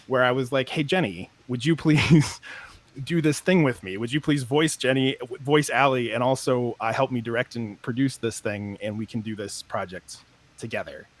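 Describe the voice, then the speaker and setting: A man's voice: medium pitch, moderate pace. A man who created a podcast, explaining at length in a casual, enthusiastic interview.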